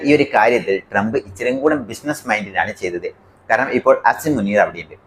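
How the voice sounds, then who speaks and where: A man's voice: on the low side, expressive, quick pace, a little hiss or hum. A man from India with a Malayali accent explains the news to viewers as a commentary host, emphatic and point by point.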